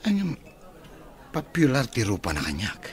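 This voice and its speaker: An actor with an Ilocano Filipino accent playing an eager, polite, smitten bachelor in a radio comedy drama. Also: male voice, mid-range voice, wildly swooping pitch, moderate pace, some chatter behind.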